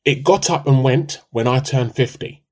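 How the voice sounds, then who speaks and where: A man's voice: mid-range voice, normal speed, lightly acted. A man, playing a timid, comically earnest, melodramatic husband in a radio play. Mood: defensive. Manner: pompous, excitable. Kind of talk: amateur radio play dialogue.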